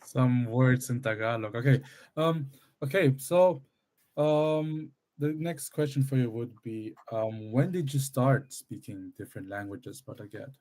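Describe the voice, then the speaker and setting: A man's voice: mid-range voice, a little slow, a little mellow. A man co-hosting a radio interview, asking follow-up questions. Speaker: co-host. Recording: voice from far off the mic.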